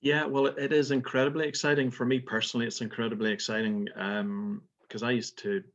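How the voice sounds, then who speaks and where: A man's voice: medium pitch, level tone, brisk, highs cut off. A middle-aged man from Belfast with a Belfast accent, a golf resort manager answering interview questions with many fillers and restarts. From Northern Ireland.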